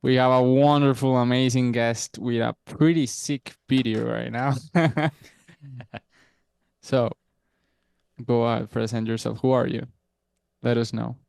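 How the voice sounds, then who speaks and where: Slow, gravelly man hosting a podcast. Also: medium pitch, steady tone, darkish tone, no echo. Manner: cheerful, welcoming, playful. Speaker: podcast co-host. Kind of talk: casual show opening and interview chat.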